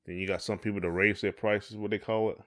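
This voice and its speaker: A man's voice: lowish voice, level tone, fast, fairly bright tone. A man co-hosting a podcast, talking casually in folksy, colloquial phrasing. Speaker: podcast co-host. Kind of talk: casual conversation.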